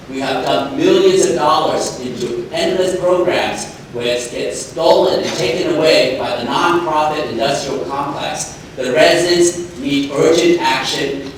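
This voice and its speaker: A man's voice: mid-range voice, slight background noise. A man making an urgent, insistent public comment at a board meeting. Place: large public meeting chamber. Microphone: body camera across the room. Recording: echoey hall heard from far off.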